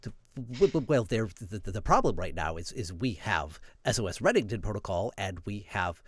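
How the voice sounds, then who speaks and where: A flustered, officious starship boss played by a man in an improvised role-playing game. The voice is on the low side and swings in pitch, recorded dry. The speech stammers and hesitates through long, formal sentences. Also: expressive, normal speed, no echo. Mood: flustered, earnest.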